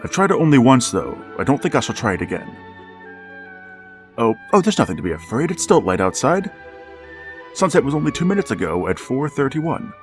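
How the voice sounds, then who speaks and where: An actor playing a lonely, embittered widowed father who speaks in a measured, formal, brooding way. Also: mid-range voice, big pitch swings, soft background music, lightly acted. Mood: grave. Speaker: narrator of the story. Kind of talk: 1940s radio drama narration.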